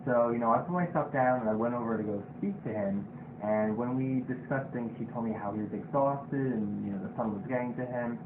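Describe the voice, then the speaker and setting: A man's voice: mid-range voice, brisk. A young man playing a job candidate, talkative and leaning on "you know" as he answers. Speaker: job candidate in a role-play. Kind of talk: casual role-play. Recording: tinny, noisy, echoey.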